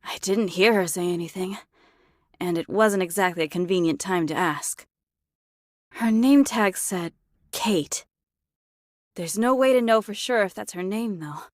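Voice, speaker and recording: A woman's voice: medium pitch, unhurried, long pauses, no room sound, studio quality, close to an everyday voice. An actress voicing a blunt, matter-of-fact young female sharpshooter in a video game, practical and understated. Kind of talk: dramatic game voice acting.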